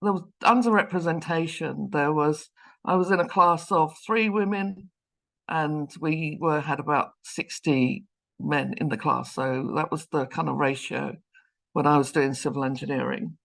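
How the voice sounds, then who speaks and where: A woman's voice: deep voice, moderate pace, creaky, low-quality recording. A woman in her fifties with a London accent, giving an online seminar talk over a video call in a chatty, self-deprecating way. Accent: London English. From London, England. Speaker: guest lecturer, professor.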